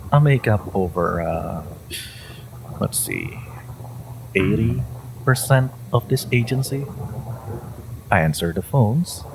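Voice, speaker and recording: A man playing an ancient, possessive hive-mind entity in an audio drama, condescending and theatrical, with amused menace and a low, creaky voice. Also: background noise, full character voice. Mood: condescending, proud. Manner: theatrical, condescending, halting with drawn-out menace and chuckles. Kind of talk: scripted audio roleplay addressed to the listener.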